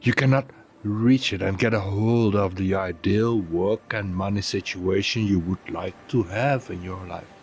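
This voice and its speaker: A man narrating a guided meditation in a soothing, deliberate way, leaving pauses between phrases. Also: low voice, slight background noise.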